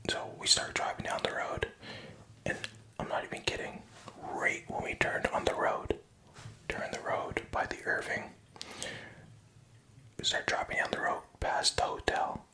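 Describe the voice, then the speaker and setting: A man's voice: a little slow, pauses often, sharp tone. A young man whispering a rambling personal story, profane and self-mocking.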